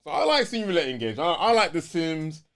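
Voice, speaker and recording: A London man chats as a podcast co-host in a voice a little on the high side. His intonation is very lively, and he talks things through in casual slang. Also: a little fast. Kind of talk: casual gaming podcast chat.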